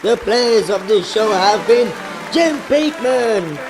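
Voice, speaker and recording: A man's voice: high-pitched, animated, slow, vocal fry, low-quality recording. A man announcing a scripted comedy show sign-off as one of its cast. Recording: music and crowd behind the voice.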